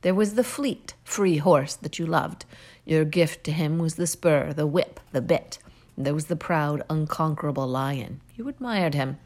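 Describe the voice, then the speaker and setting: A woman reading aloud, voicing a proud, defiant young male hero-king who declaims loudly. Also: low voice, unhurried. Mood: scornful.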